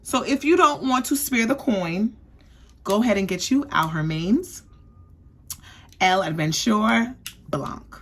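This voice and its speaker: A woman's voice: mid-range voice, lively intonation, takes it slowly, pauses often, husky. A young woman from the East Coast giving a chatty, sassy perfume review, often checking in with "okay?". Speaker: beauty vlogger.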